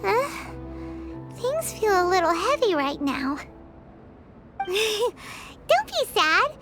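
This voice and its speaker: A woman voicing a tiny, excitable, childlike fairy companion in a video game, squeaky and exclamatory. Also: drawn-out words, over music, exaggerated voice. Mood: consoling. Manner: refers to self in third person, exclamatory.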